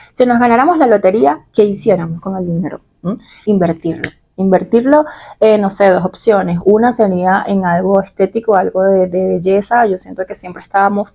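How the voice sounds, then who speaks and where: A woman's voice: medium pitch, vocal fry, dark tone. A woman from Venezuela with a Caracas accent, chatting casually and confidingly about herself in a personal vlog, stretching words for emphasis. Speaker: vlogger answering personal questions.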